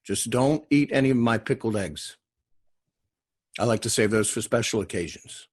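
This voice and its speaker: A man's voice: medium pitch, normal speed, breathy edge, close to an everyday voice. A man reading the part of an easygoing, hospitable small-town man in a TV drama, casual and folksy. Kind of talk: table read of TV drama script.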